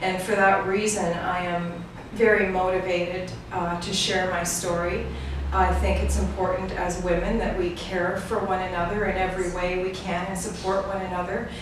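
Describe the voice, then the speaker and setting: A middle-aged woman giving personal testimony in an interview, earnest, measured and reflective. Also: on the low side, level tone. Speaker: guest, brand ambassador. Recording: echoey room, distant mic, noisy.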